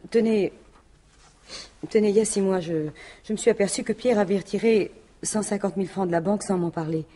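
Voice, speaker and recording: Actress as dark-voiced, husky French widow. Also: female voice, medium pitch, lightly acted. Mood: confiding. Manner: halting, colloquial, defensive. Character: defensive, jealous widow, plain-spoken businesswoman. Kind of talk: 1950s-style French radio mystery acting.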